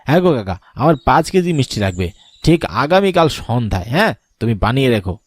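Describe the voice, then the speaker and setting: A friendly, easygoing village man acted by a man talks casually in a cartoon. His voice is medium in pitch and familiar in tone. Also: big pitch swings, a little fast, dry recording. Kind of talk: cartoon dialogue.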